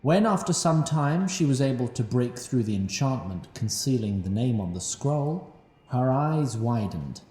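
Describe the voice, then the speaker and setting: A man narrating a fantasy audio drama in a scripted, expressive storytelling manner. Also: medium pitch, unhurried. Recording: roomy, slightly distant mic.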